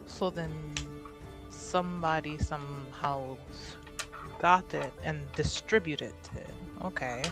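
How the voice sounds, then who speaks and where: A woman's voice: deep voice, slow pace, over music. A woman chatting casually and playfully as a player in an improvised tabletop roleplaying game.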